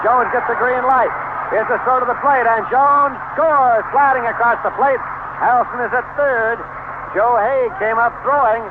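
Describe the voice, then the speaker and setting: Tinny, noisy vintage radio baseball announcer, male. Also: very high-pitched. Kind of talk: live radio sports commentary.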